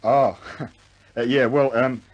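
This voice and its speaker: A man's voice: medium pitch, fairly even intonation, slow, muffled recording. An actor in a radio drama playing a casual, sheepish young airman who stammers evasively.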